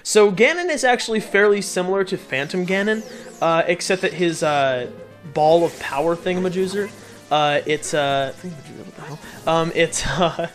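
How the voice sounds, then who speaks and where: A man's voice: fairly high pitch, moderate pace, fairly bright tone. A man hosting a let's play with casual, rambling, jokey and self-deprecating commentary over game music. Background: video game music.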